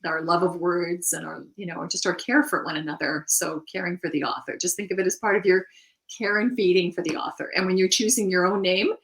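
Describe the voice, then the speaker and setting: A middle-aged woman co-hosting a casual chat about writing, animated and quick to laugh, often restarting her thoughts. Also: on the low side, creaky. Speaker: podcast co-host.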